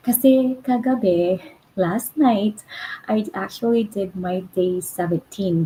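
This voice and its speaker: A woman's voice: a little high, a little mellow, slight background noise, rough recording. Chatty Filipino woman on a home livestream. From Philippines. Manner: chatty, switches between English, Tagalog and Ilocano. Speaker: livestream host. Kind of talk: casual livestream talk.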